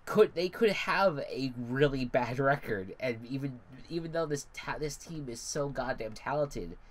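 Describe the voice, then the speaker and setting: A man's voice: medium pitch, animated, a little slow. A congested young man rambling and swearing casually while commentating a football video game. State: congested, stuffy nose. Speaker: gaming commentator.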